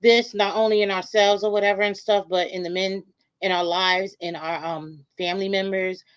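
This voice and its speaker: A woman guest on a podcast, talking casually with fillers and quick affirmations. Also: medium pitch, clean tone, dull audio. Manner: relaxed, affirming, filler-heavy. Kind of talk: casual chat.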